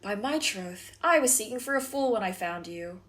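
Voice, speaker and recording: Woman acting, melancholy noble, sardonic, disdainful, world-weary, medium pitch, Shakespearean audio play. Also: lively intonation, normal speed, fairly bright tone, natural voice.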